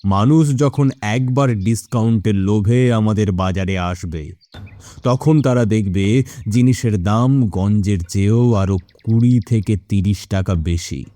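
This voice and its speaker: A man playing a greedy, scheming, cruel village boss in an animated moral story, speaking in a smug, gloating, deliberate manner. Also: lowish voice, dry recording, natural voice.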